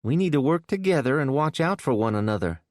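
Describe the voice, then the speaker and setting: A man's voice: medium pitch, animated, a little fast, no echo, studio quality, voice pushed up, lightly acted. A man voicing an earnest, determined woman who is a protective mother.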